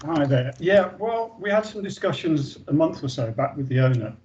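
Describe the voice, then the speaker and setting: A man's voice: on the high side, expressive, moderate pace, darkish tone, slight background noise. Man losing his voice on a call. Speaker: meeting participant giving an update. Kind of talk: online meeting update. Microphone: video call. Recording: dull lo-fi video call audio.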